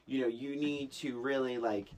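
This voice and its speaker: A woman co-hosting a podcast, casually discussing a film in a halting, conversational way and acting out lines she quotes. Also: rumbling low, steady tone, a little mellow. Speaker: podcast co-host.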